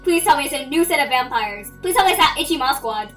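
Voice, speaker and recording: A woman voicing an excitable, sassy, bewildered anime schoolgirl in a dramatic parody dub. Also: high voice, fast, recorded from a distance. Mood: lost, grumbling. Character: excitable foul-mouthed anime schoolgirl, bewildered and boy-crazy. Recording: roomy, shouted into mic, music behind.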